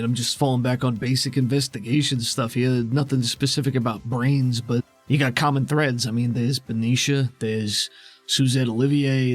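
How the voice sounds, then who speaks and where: A man's voice: medium pitch, lightly acted. Man playing a casual, cautious guide over music. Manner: casual, halting, reassuring. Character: helpful, cautious male guide with circuitry, inside a brainscape. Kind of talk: improvised in-character game dialogue.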